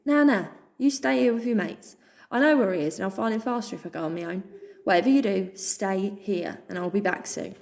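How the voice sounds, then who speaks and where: A woman's voice: mid-range voice, lively intonation, a little fast, creaky, a little mellow. Kind male terrier rescue dog voiced by a woman, easygoing and reassuring, storybook reading.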